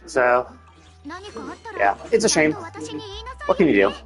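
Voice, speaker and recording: A man giving casual, unscripted gameplay commentary on a live stream, rambling and thinking out loud in a husky voice. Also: fairly high pitch, very animated, slow pace, soft background music.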